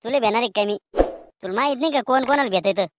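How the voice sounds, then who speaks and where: A woman's voice: on the high side, fast talker, heavy background noise, lightly acted. A woman voicing a cheeky, quarrelsome village schoolboy in a comedy cartoon, with rural Maharashtrian slang and a taunting, argumentative way of talking.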